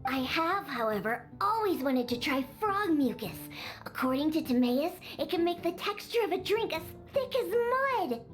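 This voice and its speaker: A woman's voice: extremely high pitch, very animated, moderate pace. A woman voicing a grumpy young catgirl bartender in a video game, huffy, haughty and sulky. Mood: businesslike. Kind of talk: animated game voice acting. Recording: music behind the voice.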